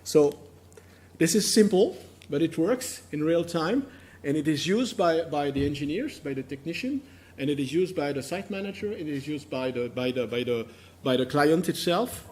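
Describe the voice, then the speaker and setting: Male conference presenter, non-native English, slightly higher-pitched, halting delivery with repeated words. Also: lively intonation, a little mellow, a little hiss or hum. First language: not English. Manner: halting, repeats words while searching for phrasing. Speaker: conference speaker.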